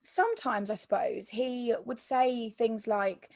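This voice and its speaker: Croaky-voiced woman telling her story. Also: on the high side, takes it slowly, darkish tone, dry recording. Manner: hesitant, many ums and restarts. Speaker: interview guest, mental health YouTuber. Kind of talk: personal story in conversation.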